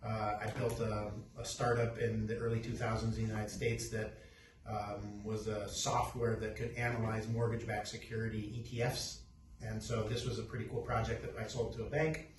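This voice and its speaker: A middle-aged man from Peru, a company CEO, introducing his background in a halting, casual way with many restarts. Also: fairly low pitch, flat delivery. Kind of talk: casual self-introduction talk. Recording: echoey room, distant mic, faint noise.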